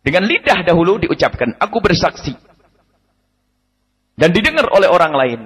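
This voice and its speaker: A man giving a lively, emphatic religious study lecture, repeating his key points and chuckling now and then. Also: sits high, moderate pace, slightly rough. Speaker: preacher. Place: study gathering hall. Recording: radio broadcast with long hall reverb.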